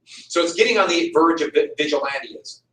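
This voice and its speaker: A man's voice: on the high side, brisk, a bit bright. A male history teacher giving a conversational classroom lecture, repeating key points for emphasis. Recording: echoey room, mic far off.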